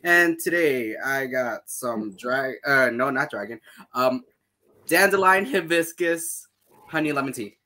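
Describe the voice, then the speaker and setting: A man's voice: mid-range voice, animated, unhurried, pushed and bright. A man hosting a casual podcast in a playful, theatrical, cheeky way. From Canada. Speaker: podcast host.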